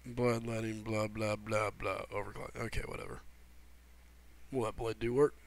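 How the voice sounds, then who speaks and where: A man's voice: on the low side, little pitch movement, moderate pace, gravelly. A man hosting a live game stream, chatting casually and reading viewers' messages aloud, his throat sore from a cold. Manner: laid-back, greets viewers by name as buddy and brother. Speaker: livestream host.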